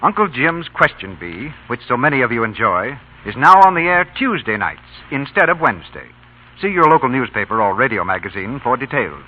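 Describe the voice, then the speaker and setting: A man announcing credits and notices in scripted 1940s radio style. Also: medium pitch. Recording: muffled old radio recording.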